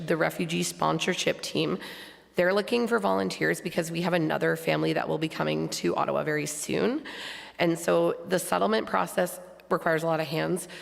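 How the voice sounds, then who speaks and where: A hoarse woman giving informal, somewhat halting church announcements as a board member. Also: on the low side. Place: large church sanctuary. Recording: long hall reverb from church sound system.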